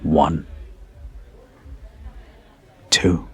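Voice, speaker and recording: A man playing a protective, teasing, dominant bartender in an intimate ASMR roleplay, speaking in a deliberate, commanding yet caring way. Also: a bit bright. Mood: firm, menacing. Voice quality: low husky voice.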